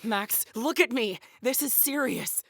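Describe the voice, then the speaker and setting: An actress playing a forceful, protective woman in an audio drama, urgent and demanding. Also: fairly high pitch, animated, measured pace, vocal fry, no room sound, clean studio sound, exaggerated voice. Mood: alarmed, demanding. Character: protective, forceful, sweary woman, fiercely determined.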